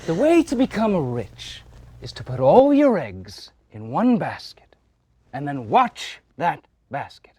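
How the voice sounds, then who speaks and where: Cold, domineering Victorian villain, swinging pitch. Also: male voice, wildly swooping pitch, a little mellow, a little hiss or hum, heavily acted. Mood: controlling, calculating. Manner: deliberate, commanding, theatrical delivery. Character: smooth, cold, domineering Victorian male swindler and killer. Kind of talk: stage play acting. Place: theater stage with live audience.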